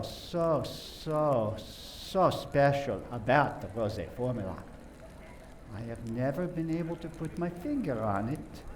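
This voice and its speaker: A self-important Frenchman, played by a man in a radio drama, savors his own words at a very leisurely pace. His voice sits at a middling pitch but swings grandly up and down, with theatrical repeated emphasis, over faint noise. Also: darkish tone, natural voice. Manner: theatrical, drawn-out, repetitive emphasis. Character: pompous, self-important Frenchman boasting of his famous ancestry. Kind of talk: community theater radio play acting.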